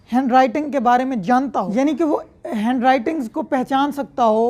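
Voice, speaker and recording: A woman's voice: high-pitched, darkish tone. A woman with a Pakistani accent giving an explanatory law lecture, repeating points in a didactic way and checking that listeners follow. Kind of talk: explanatory lecture mixing read-out statute with commentary.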